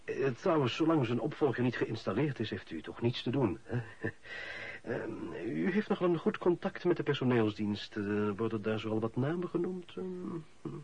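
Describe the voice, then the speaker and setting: An actor playing a chatty, prying office clerk in a Dutch radio drama, hesitant and easily flustered. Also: male voice, medium pitch, animated, moderate pace, a little mellow, husky, highs cut off. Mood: nosy, casual. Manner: hesitant, hedging, full of ehs.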